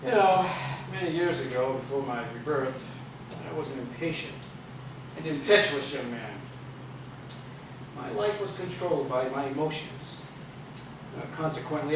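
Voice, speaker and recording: An actor on stage playing a pompous immortal corporate chief who lectures grandly with rhetorical questions. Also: male voice, a little high, distant mic, close to an everyday voice. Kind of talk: theatrical stage acting. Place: theater stage, picked up from a distance. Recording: roomy, noisy videotape of stage play.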